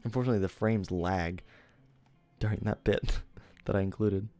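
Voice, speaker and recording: A man's voice: lowish voice, normal speed, warm tone, soft background music. A young man streaming, chatting casually and rambling, often correcting himself and laughing.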